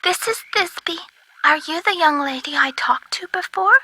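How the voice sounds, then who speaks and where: A woman's voice: extremely high pitch, normal speed, bright, forceful tone, dry recording, poor audio. A woman voicing a kindly, quaint elderly lady in a video game, genteel, wordy and fluttery. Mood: curious. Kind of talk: video game character dialogue.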